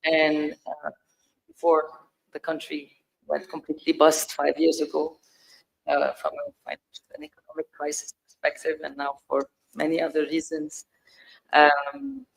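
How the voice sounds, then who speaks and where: A middle-aged woman from Lebanon speaks on a panel with a noticeably creaky voice. She tells her story in deliberate, broken-up phrases. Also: sits low, low-quality recording. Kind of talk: panel discussion, personal anecdote.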